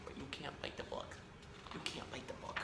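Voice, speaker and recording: A man hosting a children's storytime, reading a picture book aloud in a playful, expressive way and talking directly to young listeners. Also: fairly quick. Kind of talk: animated read-aloud of a children's picture book with chatty asides to a pet parrot. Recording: roomy echo, faint background noise.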